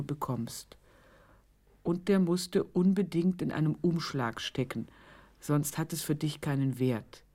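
A very low-voiced woman reads an affectionate, ornate letter aloud in a radio play. She takes it slowly, pausing and savouring the florid phrases. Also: darkish tone. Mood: affectionate, amused.

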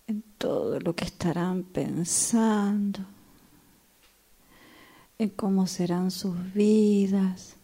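Radio drama: actress playing restless female commuter, mid-range voice, slow deliberate delivery, theatrical musing. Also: medium pitch, mellow, husky, full character voice. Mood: weary, pensive. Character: restless woman waiting for a train, pondering strangers' lives. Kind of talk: radio drama monologue workshop exercise.

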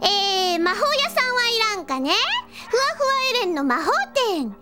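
A woman's voice: very high voice, very animated, moderate pace, over loud music. A woman playing an airy, chatty little girl witch who runs a magic shop, in a cute, dramatic audio drama style. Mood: bright sales pitch. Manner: dramatic, cute, talks to herself. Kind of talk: Japanese audio drama character acting.

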